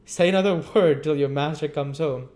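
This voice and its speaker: A man's voice: a little high, takes it slowly, pitched above the performer's own voice. A man voicing a pompous, indignant middle-aged parish beadle who blusters and moralizes sternly.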